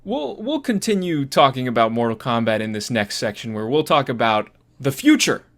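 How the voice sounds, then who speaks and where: A man co-hosting a podcast, discussing films casually in a halting, repetitive, conversational way. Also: medium pitch, sing-song. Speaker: podcast host.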